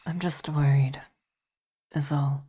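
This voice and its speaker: A woman as a deep-voiced, weary vampire queen. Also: fairly even intonation, slightly rough, exaggerated voice. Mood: worried, earnest. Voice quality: soft, often whispered. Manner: halting, reflective, sighing. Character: weary, devoted female vampire ruler and wife. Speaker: ASMR roleplay performer. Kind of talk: ASMR roleplay.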